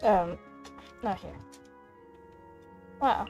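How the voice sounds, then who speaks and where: A woman doing casual let's play commentary as a gaming streamer, halting at times and reading game text aloud. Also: medium pitch, a little mellow, soft background music.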